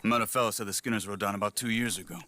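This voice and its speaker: Calm, low-voiced outlaw. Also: male voice, racing. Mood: sober. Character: calm, watchful, loyal male outlaw companion. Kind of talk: video game cutscene acting. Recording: loud music behind the voice.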